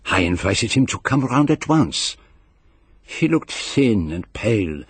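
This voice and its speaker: An actor playing a courteous, inquisitive gourmet detective in a radio drama, speaking in a measured, precise, formal way. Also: male voice, lowish voice, husky, no echo, full character voice. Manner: measured, precise, formal, savouring his words. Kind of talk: radio drama acting with first-person narration.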